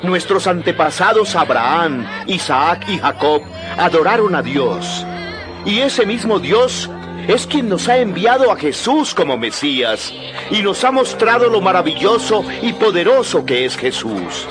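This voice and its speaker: An actor playing a fervent apostle preaching boldly and emphatically to a crowd in a dramatized Bible recording. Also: male voice, high voice, big pitch swings, measured pace, over music. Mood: earnest reproach. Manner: emphatic, declamatory, questioning.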